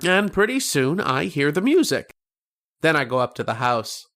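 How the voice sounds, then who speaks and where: A man playing a dreamy, insistent young boy in an old-time radio drama, speaking in a halting, earnest, childlike way. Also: on the high side, big pitch swings, a bit bright.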